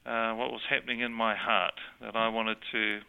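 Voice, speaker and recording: Man, New Zealand accent, earnest storyteller, smooth voice, tinny phone-like sound. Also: fairly low pitch, flat delivery, normal speed. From born in Australia, grew up in New Zealand. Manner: earnest storytelling, frequent restarts. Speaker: interview guest. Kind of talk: faith testimony in conversation.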